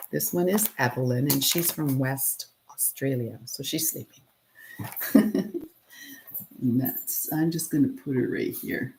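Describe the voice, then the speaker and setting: Woman, middle-aged, very low voice, gravelly, relaxed live chat, laughs a lot. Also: a little hiss or hum, poor audio. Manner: relaxed, laughs often, thinks aloud while working. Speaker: potter and channel host. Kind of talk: casual live-stream chat.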